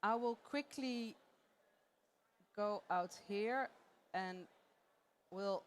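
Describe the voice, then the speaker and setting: A woman's voice: mid-range voice, extremely slow, a little hiss or hum. A woman from the Netherlands with a Dutch accent, giving a playful, chatty live conference talk on stage and laughing often. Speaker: conference presenter.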